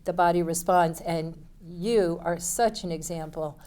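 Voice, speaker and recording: A woman in her seventies, a guest on a podcast, chatting and telling stories with quick asides. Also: lowish voice, mellow. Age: 70s. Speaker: guest, runner. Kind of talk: casual podcast chat.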